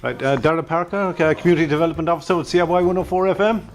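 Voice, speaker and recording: A man's voice: on the high side, very fast. An Irish man doing casual radio interviews on location.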